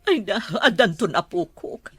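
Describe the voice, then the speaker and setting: A woman's voice: mid-range voice, sing-song, moderate pace, sharp tone, husky, full character voice. A woman playing a haughty, inquisitive rich mother in a radio drama, with an Ilocano Filipino accent. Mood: questioning. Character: Oliver's wealthy mother, inquisitive, haughty matriarch.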